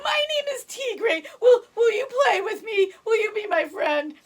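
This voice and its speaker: A woman's voice: extremely high pitch, very animated, measured pace, voice pushed up, exaggerated voice. A woman voicing a frightened baby male tiger who sobs and pleads for a friend. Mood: scared, crying. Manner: sobbing, pleading.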